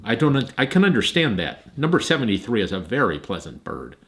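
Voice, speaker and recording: A man reading aloud, voicing an eccentric old professor in a storybook, brisk and theatrical. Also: medium pitch, big pitch swings, a bit bright. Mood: musing. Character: old eccentric male professor, gruff then kind.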